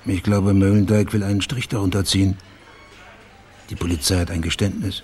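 An actor playing a calm private investigator in a radio crime drama, terse, polite and inquisitive. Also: male voice, deep voice, fairly quick, husky. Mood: probing.

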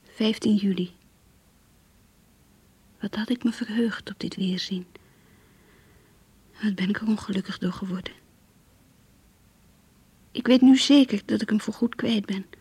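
A woman playing an earnest, lovestruck young girl in a radio play, emotional and yearning, with a breathy, husky voice. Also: mid-range voice, fairly even intonation, measured pace, pauses often, a little mellow, no echo. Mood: despairing. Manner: emotional, yearning, polite and shy. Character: lovestruck, earnest young schoolgirl graduate reading her diary. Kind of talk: Dutch radio play acting, diary narration and dialogue.

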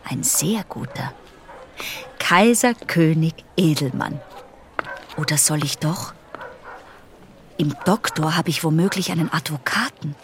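A woman's voice: sits low, a little slow, pauses often, a little hiss or hum. An actress playing a restless, defiant teenage girl musing to herself in a radio drama monologue. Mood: brooding, calculating.

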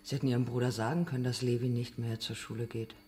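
A probing interrogator in a naturalistic radio drama, played by an actor. The voice sits at a middling pitch. The questions are pointed and insinuating, and they keep pressing the witness. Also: flat delivery, quick pace, mellow, slight background noise, lightly acted.

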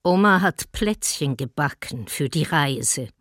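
A woman remembering her family in a radio documentary drama. The delivery is slow, simple and wistful, in a very dry recording. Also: low voice, expressive, a bit bright, no echo, full character voice.

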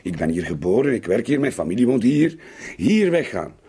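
An actor playing a stubborn, sarcastic middle-aged Flemish father in a radio drama, grumbling in a husky voice. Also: medium pitch, very animated, a little fast, mellow. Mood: irritated. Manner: sardonic, grumbling.

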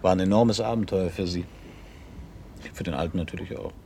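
Very low-voiced, ironic, nostalgic man played by an actor. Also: fairly quick, mellow, slight background noise, lightly acted. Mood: wistful musing. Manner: musing, ironic, provoking with questions. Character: needling, nostalgic man teasing his old friend on a boat trip. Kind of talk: German radio drama acting.

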